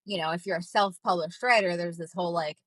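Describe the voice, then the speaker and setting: A woman's voice: on the low side, fast talker, fairly bright tone, no echo. Chatty young woman with a dry sound. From Minnesota, United States. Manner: rambling, filler-heavy, acts out quoted voices. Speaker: guest, ghostwriter. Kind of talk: casual interview answers.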